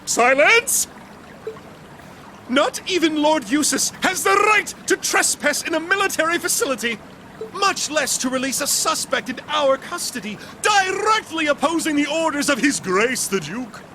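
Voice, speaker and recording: A man's voice: extremely high pitch, expressive, normal speed, noisy background. A man playing a blustering, rigid military commander with an imperious, barking delivery in a dramatic video game.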